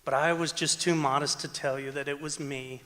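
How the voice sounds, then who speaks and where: A man's voice: on the high side, fairly even intonation, moderate pace, pushed and bright. A man acting as a brash, self-promoting announcer in an old-time radio comedy, hammy and breezy. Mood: grandly confessing. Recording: echoey auditorium stage recording.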